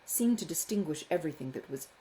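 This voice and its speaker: A woman reading a classic novel as an audiobook, expressive and carefully phrased in a measured literary manner. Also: low voice, a little hiss or hum, low-quality recording. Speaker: audiobook narrator, author.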